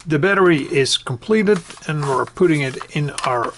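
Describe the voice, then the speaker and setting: A man giving a casual, unscripted hobby tutorial, conversational and hesitant, often pausing mid-sentence. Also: a little high, animated, fairly bright tone, slight background noise. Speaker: hobbyist demonstrating a build. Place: indoors at home.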